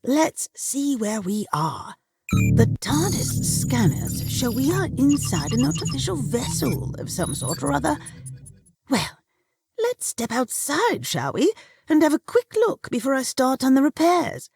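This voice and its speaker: An eccentric time-travelling alien lady, acted by a woman in an audio drama. She is brisk, formal and patronising, with a highish voice that swings widely in pitch. Music plays behind her. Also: huge pitch swings, full character voice. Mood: breezy, concerned. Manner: condescending, breezy, formal wording. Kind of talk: fan-made audio drama acting.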